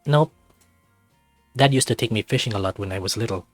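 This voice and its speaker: A man reading a visual novel aloud as its narrator, in an expressive storytelling style. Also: fairly low pitch, a little fast, no room sound, faint music behind.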